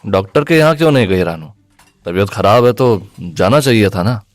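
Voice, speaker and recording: A man's voice: fairly low pitch, expressive, moderate pace, no echo, close to an everyday voice. An actor playing a brusque, irritable young man in an audio drama, curt and grumbling. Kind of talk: Hindi audio drama acting.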